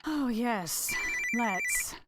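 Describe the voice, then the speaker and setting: A woman's voice: fairly high pitch, expressive, drawn-out words, heavy background noise. An actress playing a wounded, guarded woman with a terse, dry, sarcastic manner in a naturalistic audio drama. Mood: defensive, sardonic. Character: guarded, wounded, sardonic woman rebuilding her life. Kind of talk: naturalistic radio drama acting.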